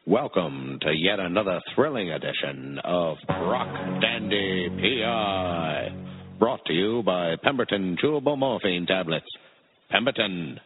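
A man playing a grandiose old-time radio serial announcer in a parody drama. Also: sits low. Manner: measured, grandiose. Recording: tinny radio, music underneath.

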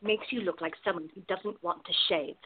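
A woman's voice: lowish voice, fairly quick, slightly rough. Radio play role: wry, protective woman, played by an actress, blunt and teasing, narrow phone-like sound. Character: wry, protective woman, devoted to her girlfriend.

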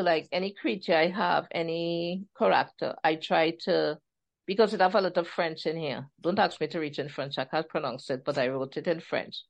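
Woman author chatting in an interview, lively, acts out quotes, lowish voice. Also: gravelly, highs cut off. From Trinidad and Tobago. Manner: animated, laughing storyteller who acts out quotes. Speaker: author guest. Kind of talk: casual interview chat.

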